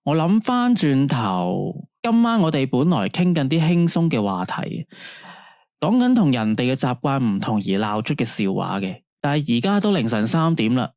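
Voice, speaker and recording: A man's voice: mid-range voice, big pitch swings, normal speed, darkish tone, no room sound, clean studio sound. A man with a Hong Kong Cantonese accent playing a late-night radio host who talks a caller through her troubles in a patient, soothing way. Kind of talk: radio drama acting.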